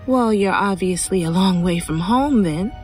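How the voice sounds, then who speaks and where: A woman's voice: mid-range voice, animated, normal speed, soft background music, close to an everyday voice. An actress playing a guarded, solitary woman in an audio horror drama, dry and sceptical yet curious, hesitant when pressed. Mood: wry, probing.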